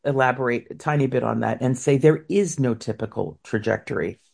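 A woman in her fifties with a low voice, an opera singer reflecting on her career in an interview, often repeating words as she goes. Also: a little fast, gravelly, a little mellow, breathy edge. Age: 50s. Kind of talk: interview answers.

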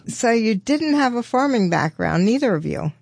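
A woman's voice: on the high side, very animated, moderate pace, creaky, darkish tone, slightly rough, dry recording, studio quality. A woman speaking as a radio host conducting an interview. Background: plucked guitar music.

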